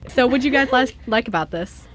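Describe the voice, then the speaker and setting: Female radio show writer: quick, casual, conversational, slightly higher-pitched voice. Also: expressive. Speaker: scriptwriter on an amateur radio drama show. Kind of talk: casual chat.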